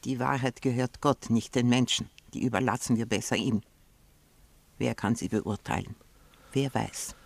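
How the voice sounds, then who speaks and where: An actress playing a very old, imperious landlady in a radio drama, guarded and probing, low-voiced and sometimes whispery. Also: normal speed, gravelly, heavily acted. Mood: probing, sceptical. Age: older.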